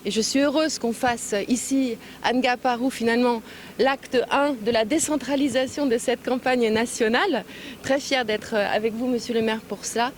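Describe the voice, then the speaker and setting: A woman speaking as an official at a public event, phrasing deliberately with frequent hesitant pauses, recorded on location. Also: fairly high pitch, fairly even intonation, slight background noise. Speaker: European Union official giving a speech and interview. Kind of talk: public speech and press remarks.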